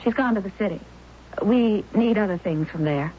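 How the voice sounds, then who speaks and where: A woman's voice: mid-range voice, moderate pace, lightly acted. A woman playing a guarded young widow painter. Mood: polite. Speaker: narrator. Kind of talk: 1970s radio drama acting. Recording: dull old radio broadcast with faint hiss.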